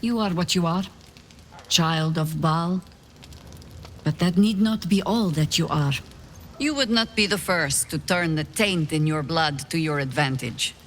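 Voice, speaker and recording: An actor playing a solemn, protective companion in a fantasy video game, speaking in a measured, earnest, thoughtful way. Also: medium pitch, a bit bright, a little hiss or hum, close to an everyday voice. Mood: earnest, reassuring. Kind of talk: dramatic video game dialogue.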